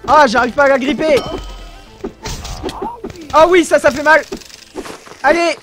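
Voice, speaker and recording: A young man giving excited commentary over his own gameplay, exclaiming often and talking straight to his viewers, recorded on a desk mic with headphones on. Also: very high voice, measured pace, over music. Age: young adult. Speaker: gaming video creator.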